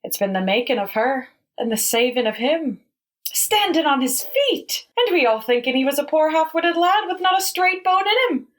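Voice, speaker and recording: A woman's voice: high-pitched, very animated, moderate pace, a bit bright. A woman voicing a homely cottage mother, talking in plain dialect. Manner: homely, exclaiming dialect speech. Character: warm practical cottage mother from the moors. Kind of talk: amateur audio drama.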